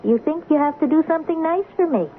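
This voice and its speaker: A woman playing a wry, sharp-witted teenage daughter in a radio drama, with a wisecracking, dramatic delivery. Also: sits high, animated, fast. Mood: sharp, teasing. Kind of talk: radio drama acting with first-person narration. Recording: tinny, noisy old radio broadcast.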